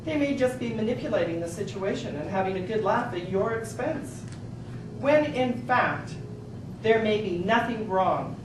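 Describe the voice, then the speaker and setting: A woman's voice: mid-range voice, expressive, normal speed, natural voice. A woman in an amateur stage play, playing a skeptical prosecutor with a pointed, questioning manner. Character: skeptical, challenging female courtroom prosecutor. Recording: echoey distant room recording, clear background noise.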